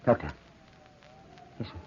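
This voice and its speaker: A man's voice: a little slow, close to an everyday voice. An actor playing a fearful, haunted old man in a 1940s radio horror drama, intense and melodramatic. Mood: frantic, fearful. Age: older. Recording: dull, noisy old radio recording.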